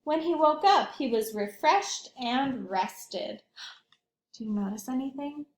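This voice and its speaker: A woman reads a storybook to young children like an early years teacher. Her high voice moves up and down expressively at an unhurried pace. The room adds a noticeable echo. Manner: animated, asks young listeners questions.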